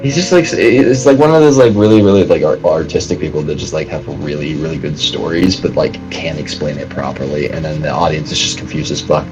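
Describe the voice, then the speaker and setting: A man co-hosting a casual panel podcast, explaining things in a halting, rambling way and swearing freely. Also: rumbling low, over music, low-quality recording. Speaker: podcast co-host.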